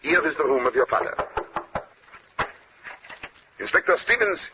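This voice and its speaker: Self-assured detective, French accent, formal, slightly high voice, vintage radio drama, dull hissy recording. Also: male voice, expressive, measured pace. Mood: composed, courtly. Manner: formal, courtly phrasing with French exclamations. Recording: dull, noisy old radio broadcast.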